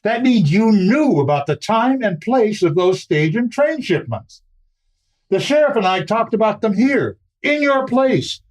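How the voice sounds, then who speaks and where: Radio western townsman played by a man: earnest and folksy, distinctly high, expressive delivery. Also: measured pace, heavily acted. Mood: dawning realization. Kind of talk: old-time western radio acting.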